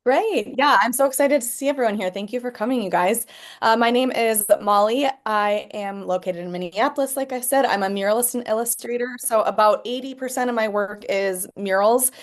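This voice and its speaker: A woman's voice: fairly high pitch, fairly quick. Bubbly chatty woman on a video call. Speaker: muralist and illustrator, co-host. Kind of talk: casual self-introduction.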